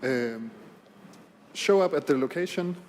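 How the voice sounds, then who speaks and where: A man giving an improvised radio reportage on location, halting and pausing to search for words. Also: mid-range voice, expressive, darkish tone, slight background noise. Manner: halting, with long searching pauses. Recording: long echo, faint music.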